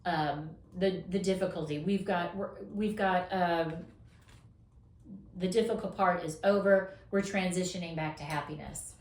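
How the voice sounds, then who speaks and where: A woman doing a casual weekly tarot reading, encouraging and affirming, her voice going hoarse as she talks. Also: fairly low pitch, steady tone, a little mellow, roomy sound. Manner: encouraging, affirming, drawn-out emphasis. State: losing her voice, coughing. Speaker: tarot reader.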